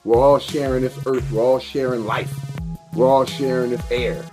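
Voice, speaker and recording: A man's voice: medium pitch, fairly even intonation, normal speed, music in the background. A man in his thirties talking casually about beer and cigars, loose and repetitive, swearing and drifting into preachy life advice. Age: 30s. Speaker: vlogger reviewing beer and cigars. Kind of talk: casual rambling monologue.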